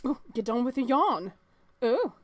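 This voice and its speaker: A woman hosting a let's-play of a visual novel, reading the text aloud with expressive, exclamatory reactions and the odd stumble. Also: a little high, unhurried.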